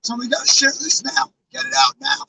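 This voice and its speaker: Hoarse man streaming live, off-mic. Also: very high-pitched, very animated, fairly quick, pushed and bright, recorded from a distance, rough recording. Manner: earnest, rambling, many fillers and restarts. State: hoarse, losing his voice. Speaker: live streamer with a truck convoy. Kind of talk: casual live-stream talk to chat. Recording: off-mic with faint background noise.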